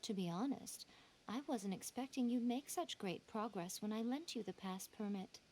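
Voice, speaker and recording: A woman's voice: medium pitch, normal speed, dark tone, slight background noise, lightly acted. A woman voicing a sly, playful female shrine priestess in a game, amused and teasing. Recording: game audio with clear music.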